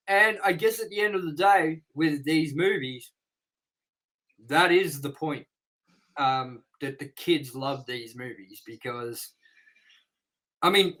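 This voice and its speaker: A man's voice: on the high side, poor audio. A man in his thirties co-hosting a movie review show, chatting casually and telling rambling stories with casual swearing.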